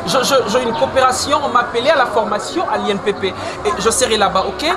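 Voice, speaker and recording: A man's voice: very high-pitched, fast talker, over a noisy crowd. A man playing an earnest broke boyfriend. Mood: reassuring. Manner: earnest, hesitant, searching for words. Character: broke young man pleading with his girlfriend.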